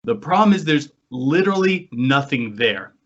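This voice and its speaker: An American man hosting a podcast, telling anecdotes in a casual, animated storytelling way. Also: medium pitch, moderate pace. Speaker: podcast host and paranormal investigator. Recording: dull, lo-fi online call audio.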